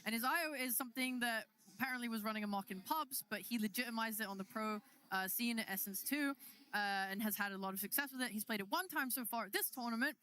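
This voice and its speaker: A woman's voice: fairly high pitch, quick pace, bright, forceful tone. A woman giving casual panel analysis as an esports commentator at a live event. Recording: faint venue noise behind.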